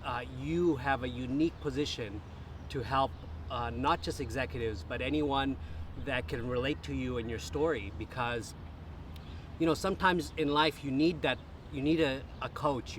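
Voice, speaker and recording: A man's voice: mid-range voice, moderate pace, slight background noise. A young man hosting a podcast, speaking in a loose, admiring way, full of "you know" and "uh" as he thanks his guest. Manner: warm, admiring, loose with fillers. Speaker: podcast host. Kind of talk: casual interview conversation.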